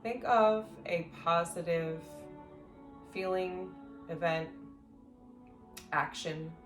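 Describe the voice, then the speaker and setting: A woman leading a guided sleep meditation as an instructor, speaking gently in slow, measured phrases with long pauses. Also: fairly low pitch. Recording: roomy echo, faint music behind.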